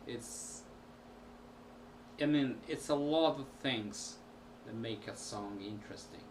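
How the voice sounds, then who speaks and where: A man, a musician, talking casually to camera at home in a halting way with many pauses. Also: mid-range voice, a little mellow. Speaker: musician vlogger. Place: small room at home. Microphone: built-in camera mic. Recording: distant home camera audio with background noise.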